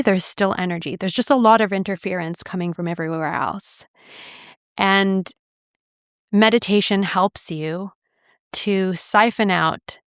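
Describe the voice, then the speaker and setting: Smooth-voiced woman telling a personal story. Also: fairly low pitch, no room sound, clean studio sound. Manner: reflective, earnest, easygoing with light laughs. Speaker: podcast host.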